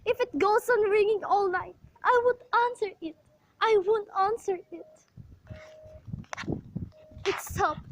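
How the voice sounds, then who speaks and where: Actress as demanding, nervy invalid woman for a radio thriller; very high voice, imperious, agitated, repeats herself in exasperation. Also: low-quality recording. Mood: fearful, tearful. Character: nervous, demanding, bedridden invalid woman, panicky and irate. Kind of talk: amateur radio play suspense acting.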